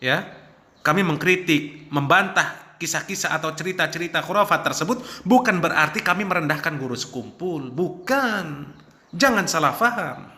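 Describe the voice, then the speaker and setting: A man's voice: on the high side, bright, forceful tone. A man giving an Islamic religious talk in an emphatic, didactic way, repeating his key phrases. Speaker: Islamic preacher. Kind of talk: religious talk, rebutting criticism. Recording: echoey room.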